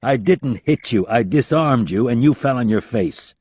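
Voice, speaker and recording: A man's voice: mid-range voice, expressive, moderate pace, darkish tone, breathy edge, pitched above the performer's own voice. A man doing a brash, scornful male operative. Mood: curt. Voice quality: blunt, scornful, cursing.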